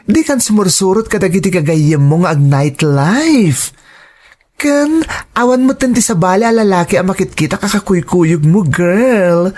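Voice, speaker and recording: A woman's voice: medium pitch, lively intonation, a little slow, a little mellow. A woman with an Ilocano Filipino accent playing a nosy, teasing female friend in a drama. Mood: suspicious, needling. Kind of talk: Ilocano radio-style drama acting.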